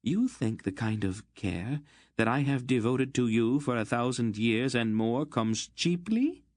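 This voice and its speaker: A man's voice: medium pitch, sing-song, measured pace, darkish tone, no room sound, studio quality, voice pushed up. A man doing a cold, ancient sorceress. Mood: anguished. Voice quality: cold, clipped, scathing. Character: ancient stern sorceress woman, cold and commanding.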